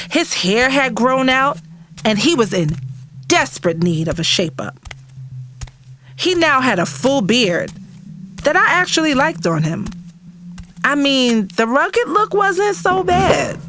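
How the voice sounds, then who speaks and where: A woman's voice: sits high, sing-song, slow, fairly bright tone, slight background noise, heavily acted. A woman with an American accent playing an emotional, insistent young girlfriend in an audio drama. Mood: reflective. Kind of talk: first-person dramatic narration and dialogue in audio drama.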